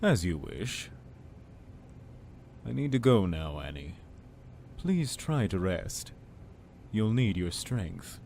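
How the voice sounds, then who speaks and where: Man as soft-spoken cult lord, deep, unhurried but richly inflected, pious, measured, audio drama. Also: very animated, gravelly, a little hiss or hum, exaggerated voice. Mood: soothing, pious.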